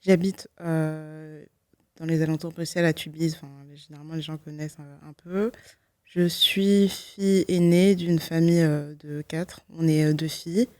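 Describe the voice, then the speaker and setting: A woman's voice: deep voice, steady tone, normal speed, warm tone, dry recording. A woman, giving a personal testimony in a reflective, hesitant way, often correcting herself. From Belgium. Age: 20s. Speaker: guest, law student giving testimony.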